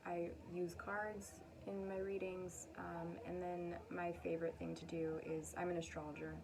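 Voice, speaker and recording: Low-pitched woman chatting effusively, noisy recording. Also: gravelly. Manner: effusive, affirming, rambling asides. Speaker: astrologer and tarot reader, channel host. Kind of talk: casual, chatty card reading to camera.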